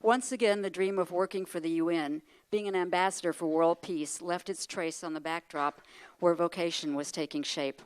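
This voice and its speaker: A woman's voice: lowish voice, fairly even intonation, moderate pace, clean tone. A woman in her sixties, a retired professor, giving a prepared speech at a luncheon and telling her story with asides and wry rhetorical questions. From United States. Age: 60s. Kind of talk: prepared speech read to an audience.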